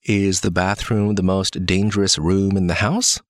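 A man reading lines of a scripted dialogue slowly and carefully, as in a listening test. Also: low-pitched, little pitch movement, dry recording, studio quality.

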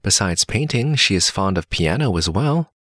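A man reading lines of a scripted dialogue slowly and carefully, as in a listening test. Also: on the low side, sing-song, a bit bright, slightly rough, no echo, studio quality.

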